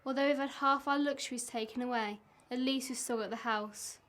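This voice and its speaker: A woman plays a worried, weary wife in an amateur radio play. Her voice is high and she sounds resigned to her troubles. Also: fairly even intonation, a little fast, fairly bright tone.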